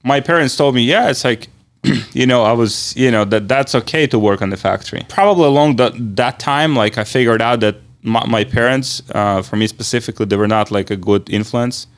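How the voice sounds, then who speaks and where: Halting, rambling male interviewer. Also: mid-range voice. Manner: halting, rambling phrasing with repeated filler words. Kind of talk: casual conversational interview.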